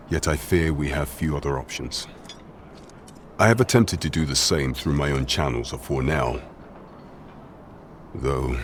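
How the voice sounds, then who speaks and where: An actor playing a grave, courteous royal loyalist in a fantasy video game, speaking in formal, measured, archaic courtly phrases. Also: male voice, a bass voice, a little hiss or hum. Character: grave, courteous male royal loyalist plotting against the regent.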